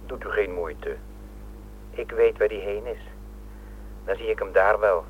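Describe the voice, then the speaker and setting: Short menacing phone caller, old radio drama, lowish voice, tinny filtered line. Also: male voice, unhurried. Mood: curt. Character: terse, menacing male telephone caller. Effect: telephone filter. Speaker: actor in a radio play. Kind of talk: Dutch radio drama acting. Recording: noisy, humming phone-like radio recording.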